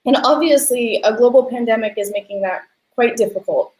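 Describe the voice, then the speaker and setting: A woman's voice: fairly high pitch, fairly quick, darkish tone. Effusive woman hosting, roomy echo. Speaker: host, interviewer. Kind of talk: conversational panel interview. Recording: echoey room sound.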